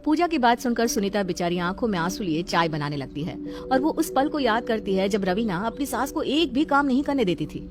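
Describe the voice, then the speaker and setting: A woman's voice: fairly high pitch, lively intonation, a little fast, few pauses, music in the background. A woman storyteller reading a scripted moral tale as narrator.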